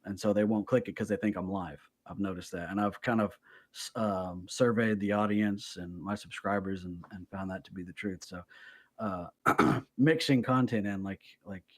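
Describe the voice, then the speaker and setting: A man from Florida co-hosts a casual podcast, friendly and eager, often restarting his sentences. His voice sits on the low side. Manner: friendly, enthusiastic, stammering restarts.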